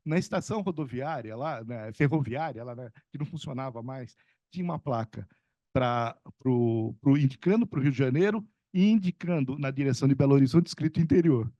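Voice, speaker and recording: Middle-aged man from são paulo, economics professor on a panel, rambles with lots of fillers, warm mellow tone, dry sound. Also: mid-range voice, a little fast, no echo, muffled recording. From São Paulo state, Brazil. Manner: rambling, many fillers and restarts, chuckles. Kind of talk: conversational talk at a panel.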